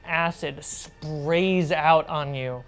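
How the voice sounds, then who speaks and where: Female game master, low register, narrating and prompting players over faint background music. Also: very slow. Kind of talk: narrating a tabletop role-playing game.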